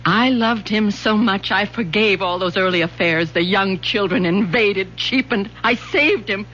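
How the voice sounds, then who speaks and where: A woman's voice: medium pitch, a little slow, fairly bright tone, close to an everyday voice. A bitter middle-aged wife and mother played by a woman in an old radio drama, brooding and melodramatic. Her lines drip with wounded sarcasm, on a dull recording with a faint hum. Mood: anguished confession.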